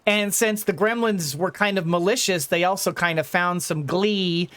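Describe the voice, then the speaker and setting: Male gaming host, notably high voice, casual and rambling, talks his way through each step. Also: moderate pace, a bit bright, smooth. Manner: rambling, thinking aloud. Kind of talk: casual let's-play commentary.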